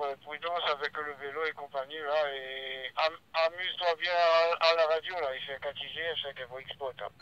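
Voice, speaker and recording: A man's voice: on the high side, bright, forceful tone. A man chatting casually as an amateur radio operator, hesitant and searching for words, heard through a radio transmission. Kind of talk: casual ham radio chat. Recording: dull lo-fi radio link, faint noise.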